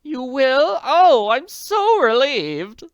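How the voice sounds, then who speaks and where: Tearful fancy lady from an old mystery book, way up high, slow and wobbly. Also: sing-song, no echo, highs cut off, heavily acted. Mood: distressed. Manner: breathless, drawn-out, pleading. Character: agitated elegant middle-aged woman, fretful and tearful. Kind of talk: audiobook character voice.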